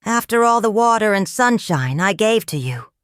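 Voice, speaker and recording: A woman voicing an excitable, easily frightened lady mayor in a children's storybook, dramatic and exclaiming. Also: medium pitch, normal speed, clear, resonant tone, no echo, clean studio sound, voice pushed up, close to an everyday voice. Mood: alarmed.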